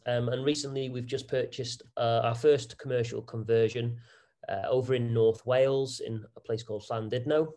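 Man, 40s, interview guest, chatty, fillers and restarts, mid pitch. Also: a little mellow. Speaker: property investor guest. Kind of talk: conversational interview answers.

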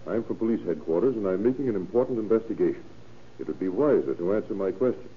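An actor playing a calm, insistent police detective in an old radio crime drama, coolly polite and probing. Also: male voice, on the low side, quick pace, natural voice. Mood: polite, firm. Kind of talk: 1930s-40s radio crime drama acting. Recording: old tinny radio recording with noise.

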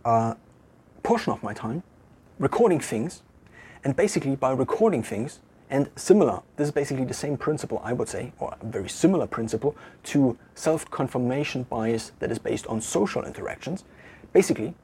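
German-accented man musing, very husky voice. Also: medium pitch, darkish tone. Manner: thinking aloud, halting with restarts and self-corrections. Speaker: vlogger. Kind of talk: casual reflective monologue to camera. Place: empty room.

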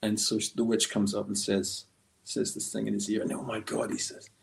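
Creaky-voiced man telling stories. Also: fairly low pitch, monotone, a little fast. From Scotland. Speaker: guest comic artist. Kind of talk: conversational storytelling in a podcast interview.

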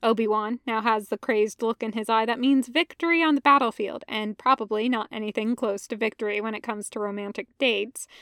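A woman reading a story aloud in an expressive audiobook narration style. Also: a little high, a little fast, few pauses, no echo, studio quality. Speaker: podfic narrator.

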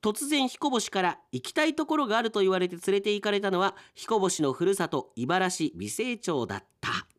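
A man's voice: sits high, big pitch swings, moderate pace, hardly any pauses, fairly bright tone, voice pushed up. A man voicing a romantic celestial woman telling of her date in a wistful radio-story manner. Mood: wistful, hopeful. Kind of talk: read-aloud radio drama story.

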